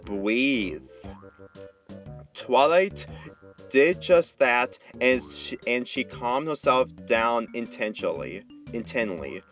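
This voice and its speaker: A man's voice: medium pitch, expressive, slow pace, fairly bright tone, soft background music. A man narrating a fan fiction story as an amateur reader, often stumbling and rereading phrases.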